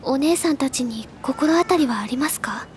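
A woman's voice: high-pitched, lively intonation, normal speed. An actress playing an earnest, composed teenage schoolgirl in audio drama dialogue. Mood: concerned, probing.

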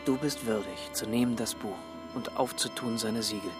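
A man's voice: fairly low pitch, moderate pace, loud music behind the voice, natural voice. A man playing a wary, challenging young brother of a nonhuman race in a radio drama. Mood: solemn.

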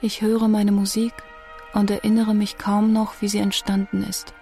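An actress plays a young woman musician facing death in a radio drama monologue. Her voice sits at a middle pitch and moves very little. She is grave, inward and bitter, with faint music around her. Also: little pitch movement, moderate pace, vocal fry, dark tone, natural voice. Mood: despairing.

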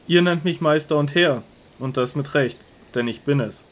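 An actor playing a calm, authoritative male teacher in a radio drama, speaking solemnly and earnestly. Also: fairly high pitch, moderate pace, close to an everyday voice.

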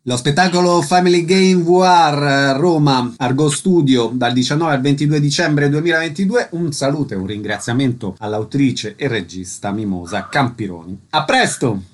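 A man's voice: fairly high pitch, normal speed, hardly any pauses. A man hosting a radio interview, announcing guests, places and dates.

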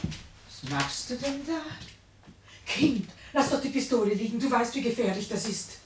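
A woman's voice: fairly high pitch, animated, normal speed, slight background noise, lightly acted. An actress playing an anxious, caring wife and mother in a radio play, fussing solicitously. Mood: anxious, motherly. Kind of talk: German radio play acting.

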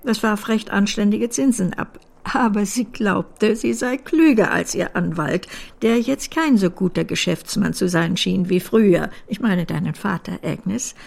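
An actress playing a blunt but loving older great-aunt in a German radio drama, dry and emphatic in a theatrical period style. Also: female voice, medium pitch, measured pace, creaky, a little mellow. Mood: brisk, matter-of-fact. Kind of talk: German radio play acting of a period novel.

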